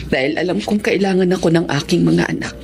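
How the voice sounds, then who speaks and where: A woman's voice: fairly low pitch, steady tone, fast, gravelly, a little mellow, a little hiss or hum. A woman playing an earnest middle-aged schoolteacher mother. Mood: hurt. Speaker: teacher and mother. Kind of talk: Tagalog radio-style drama acting.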